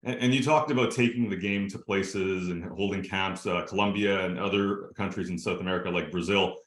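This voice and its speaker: A man's voice: low-pitched, steady tone, brisk, reverberant. A man, a sports show host asking long, eager, rambling interview questions.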